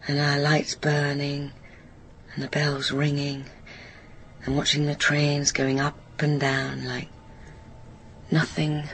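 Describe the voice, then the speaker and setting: An actress playing a middle-aged woman in a radio drama, wistfully and patiently recalling her girlhood in a husky voice. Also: deep voice, monotone, slow, pauses often, a little hiss or hum, poor audio. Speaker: narrating witness in a radio play. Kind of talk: reminiscing, naturalistic radio drama acting.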